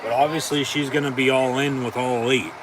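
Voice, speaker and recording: A man's voice: mid-range voice, steady tone, far from the mic, background noise. A man doing casual play-by-play reactions to a wrestling event, rambling and cursing with many fillers. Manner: rambling, profane, halting with fillers. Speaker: watch-along commentator.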